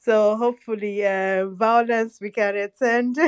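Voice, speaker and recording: A woman's voice: on the high side, takes it slowly, clean tone. A woman in a casual online chat, bantering and laughing with friends.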